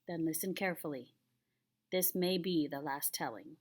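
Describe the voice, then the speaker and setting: A woman's voice: low-pitched, normal speed. A woman voicing a patient, solemn old grandfather who tells a tale in a grave, tender way, reading a children's picture book.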